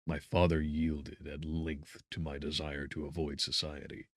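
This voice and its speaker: Very deep, slow male gothic narrator. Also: completely flat delivery, no room sound, clean studio sound. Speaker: audiobook narrator. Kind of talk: dramatic literary narration of a gothic horror novel.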